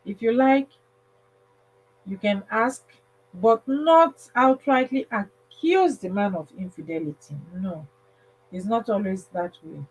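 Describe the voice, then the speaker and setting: A woman's voice: medium pitch, slow pace, breathy edge, poor audio. A woman hosting a casual livestream advice talk, speaking emphatically and repeating phrases for stress. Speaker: livestream host.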